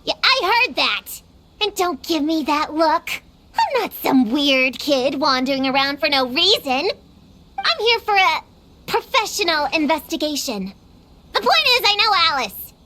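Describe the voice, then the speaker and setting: A woman's voice: sits high, big pitch swings, unhurried, bright, forceful tone, heavily acted. A woman playing a proud, defensive young girl witch hunter in a video game, with a childlike voice and a self-important manner. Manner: self-important, hesitant when bluffing.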